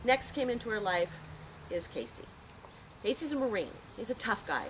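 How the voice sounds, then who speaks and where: A woman's voice: mid-range voice, big pitch swings, normal speed. A woman giving a heartfelt, plain-spoken eulogy to a gathering outdoors, telling stories as she goes. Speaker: eulogy speaker at a memorial. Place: outdoor park memorial gathering. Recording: noisy outdoor park ambience.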